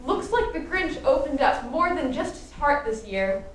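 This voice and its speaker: A woman's voice: high-pitched, very animated, moderate pace. A woman narrating a scripted Christmas radio play as its storyteller. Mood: lively storytelling. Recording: distant echoey stage, faint noise.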